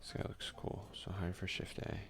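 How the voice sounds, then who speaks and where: A man doing a soft-spoken, unhurried ASMR game playthrough, reading text aloud with casual asides. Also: soft background music. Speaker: let's play streamer.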